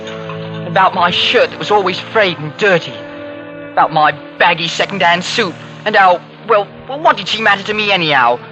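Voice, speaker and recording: An actor playing a guilt-ridden young man in an old-time radio drama, halting and stammering. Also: sits high. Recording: vintage radio recording.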